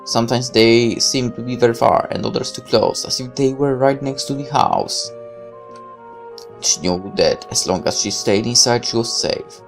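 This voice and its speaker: A gaming host narrates a visual novel aloud in a medium-pitched voice with noticeable vocal fry. The reading is broken by casual asides and small self-corrections. Faint music plays behind the voice. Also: expressive, moderate pace.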